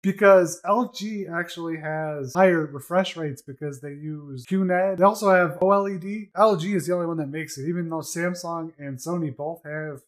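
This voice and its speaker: A man's voice: on the high side, normal speed, hardly any pauses. A man in casual conversation, explaining things at length in a chatty, matter-of-fact way. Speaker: co-host. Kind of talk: casual chat.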